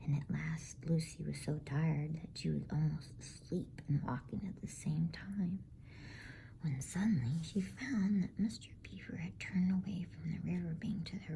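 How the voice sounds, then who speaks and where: A woman reading a bedtime story in a hushed, breathy ASMR whisper. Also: sits low, steady tone, normal speed, rough recording. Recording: faint background noise.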